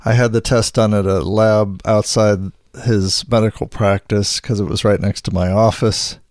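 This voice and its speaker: A middle-aged man co-hosting a casual podcast chat, sometimes hoarse and clearing his throat, rambling with many restarts. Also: lowish voice, fairly even intonation, normal speed, darkish tone, no room sound, clean studio sound. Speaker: podcast co-host.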